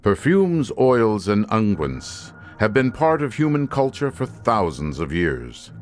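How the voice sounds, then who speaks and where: Theatrical low-voiced male announcer over music. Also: very animated, slow pace. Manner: theatrical, formal. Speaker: announcer and narrator of anthology drama. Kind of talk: dramatic scripted narration.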